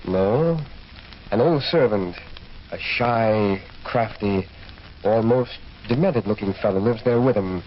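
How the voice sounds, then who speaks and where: An actor playing a sober, sceptical physician, speaking gravely and firmly in an old radio horror drama. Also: male voice, fairly low pitch. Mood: grave, foreboding. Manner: grave, measured, firm. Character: sober, sceptical male physician recounting a haunting. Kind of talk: 1940s radio drama acting. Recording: muffled, noisy old radio recording.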